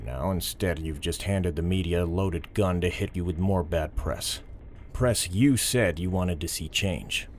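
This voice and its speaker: Low, controlled police officer played by a man, firm and respectful, audio drama, light noise behind. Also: measured pace. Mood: earnest, controlled.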